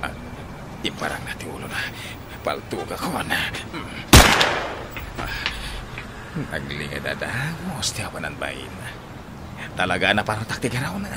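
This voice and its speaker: A man acting in an Ilocano radio drama as a cunning, taunting general with a menacing, drawn-out delivery. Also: low-pitched, big pitch swings, very noisy. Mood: tense, focused.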